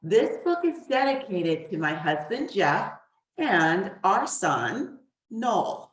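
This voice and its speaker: A woman reading a children's storybook as guest author, in a deliberate, expressive way with pauses. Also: medium pitch. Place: echoey room, away from the mic. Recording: roomy echo, distant mic.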